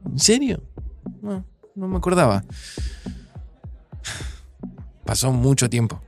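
Argentine man, mid-pitched, very animated, chatty, affectionate distracted groom, audio drama, light music underneath. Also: moderate pace, vocal fry. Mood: excited. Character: Santiago, sociable, distracted, affectionate male groom. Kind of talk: naturalistic audio drama acting.

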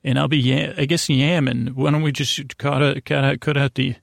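A man hosting a bedtime podcast in a creaky voice, rambling in a gently silly, halting way that meanders and circles back. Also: medium pitch, flat delivery, dry recording, clean studio sound. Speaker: podcast host. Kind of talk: rambling bedtime monologue to aid sleep.

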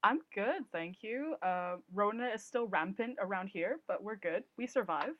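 A young woman from Hong Kong with a Hong Kong English accent, a guest answering casual questions in a relaxed, self-deprecating way. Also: medium pitch, moderate pace, no echo. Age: young adult. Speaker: guest, film student. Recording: dull, dry remote call.

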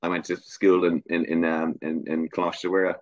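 A man's voice: low-pitched, level tone, normal speed, dry recording. A middle-aged man with a Dublin accent, answering interview questions reflectively, hesitant with many fillers and restarts. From Ireland. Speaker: guest filmmaker. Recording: muffled lo-fi sound.

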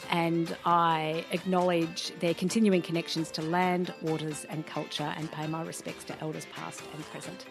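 An Australian woman hosting a podcast interview, bubbly and enthusiastic. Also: lowish voice. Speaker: podcast host.

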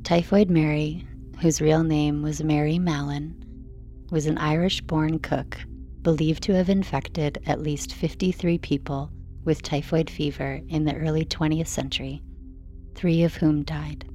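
A woman narrator reading calm scripted non-fiction for bedtime in a soothing, restrained manner. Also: low voice, unhurried, soft background music.

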